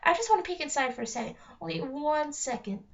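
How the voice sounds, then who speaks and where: An eager young storybook girl, read out by a woman, high-pitched, earnest and stubbornly dreamy. She comes through on a dull, lo-fi recording. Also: lively intonation, quick pace. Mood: thrilled. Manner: earnest, insistent.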